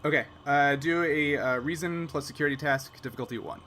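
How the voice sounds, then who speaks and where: A man narrating an improvised sci-fi tabletop game as game master, conversational and pausing to find his words. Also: fairly high pitch, bright, forceful tone, a little hiss or hum.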